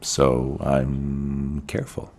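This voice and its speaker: A cool suburban crime suspect in an audio drama. His voice sits extremely low and has a husky grain. He taunts and evades in a measured way, giving nothing away. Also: monotone, a little mellow, full character voice. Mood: taunting, coolly evasive. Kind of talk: audio fiction acting.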